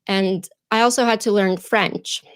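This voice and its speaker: Interview guest, a woman from Ukraine, native Russian speaker, mid-pitched voice, reflective. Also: steady tone, unhurried, smooth, no echo. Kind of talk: casual interview storytelling.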